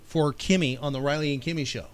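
A man's voice: fairly high pitch, fairly even intonation, fast. A man hosting a casual trivia show, playfully quizzing and teasing out each question. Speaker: trivia podcast host.

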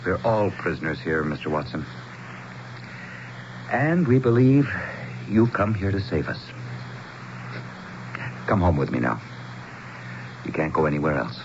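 Courtly american mayor, low voice but swings all over, grandiose and pushy, old radio drama. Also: male voice, sing-song, measured pace, long pauses, heavily acted. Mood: grave. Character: effusive, insistent small-town mayor with grave intensity. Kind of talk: 1970s radio drama acting. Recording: dull, noisy 1970s radio broadcast.

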